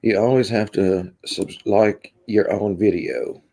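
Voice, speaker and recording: A man's voice: on the low side, takes it slowly, gravelly, warm tone. A man from Kentucky with a Kentucky accent hosts a rambling, casual live stream and reads out chat comments, with lots of ums. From Kentucky, USA. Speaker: livestream host.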